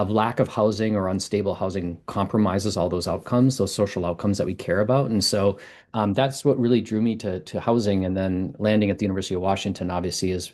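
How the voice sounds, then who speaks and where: A man's voice: low-pitched, a little mellow. A man in his fifties from the US Midwest, a professor and interview guest, explaining in fluent storytelling. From Minnesota, US Midwest. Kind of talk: conversational interview.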